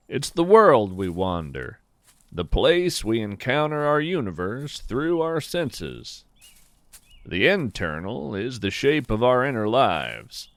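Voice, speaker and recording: A man reading a scripted, reflective podcast narration in a measured, deliberate manner with pauses and emphatic phrasing. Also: medium pitch, no room sound. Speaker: podcast host and writer.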